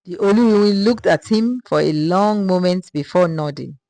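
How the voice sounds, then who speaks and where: A woman narrating a scripted folktale in a measured, dramatic storytelling manner. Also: fairly low pitch, mellow, no room sound.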